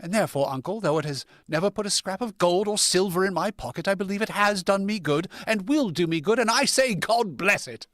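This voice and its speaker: A man as cheerful young gentleman, high lilting voice, animated, earnest, dry sound, radio play. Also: brisk, few pauses, no room sound. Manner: genial, earnest, theatrical. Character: cheerful, kindhearted gentleman, the miser's young nephew. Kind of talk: vintage radio drama acting.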